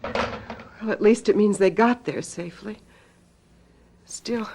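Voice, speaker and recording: A woman's voice: medium pitch, slow pace, creaky, background noise. An actress playing an anxious, urgent woman who senses death, speaking with dramatic worry in a radio drama. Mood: worried, foreboding. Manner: worried, dramatic, insistent. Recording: radio drama with music behind.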